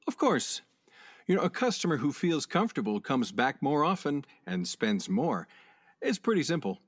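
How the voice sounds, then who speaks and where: A man's voice: fairly high pitch, big pitch swings, moderate pace. A man acting a breezy, folksy, supremely self-confident job candidate who talks in glib platitudes, in a comedic radio play. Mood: assured.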